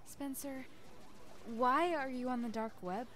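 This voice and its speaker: Curious, higher-pitched teenage girl voiced by a woman. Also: slow pace, fairly bright tone, a little hiss or hum.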